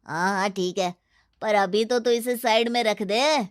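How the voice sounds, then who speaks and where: A woman's voice: medium pitch, measured pace, clear, resonant tone, dry recording, studio quality, natural voice. A woman voicing a jumpy, grumbling young man in a storybook, exclamatory and complaining.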